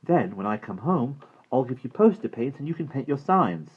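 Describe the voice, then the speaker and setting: Man playing a wide-eyed excited kid, pitched way up from his deep voice, a bit muffled sound. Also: moderate pace, slightly rough. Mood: eager. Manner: eager, breathless bursts. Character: excited eager young boy.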